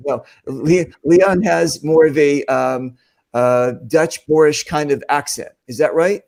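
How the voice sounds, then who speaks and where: A man's voice: a little high, slow pace, creaky, darkish tone. A man hosting a casual podcast chat, rambling through questions and often restarting his sentences. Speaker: podcast host.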